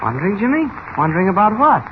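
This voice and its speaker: An actor playing a mild, amiable, evasive newspaper reporter with a hesitant, innocent manner in 1940s radio style. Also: male voice, high voice, sing-song, fast. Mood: evasive, amused. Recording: noisy, muffled old radio recording.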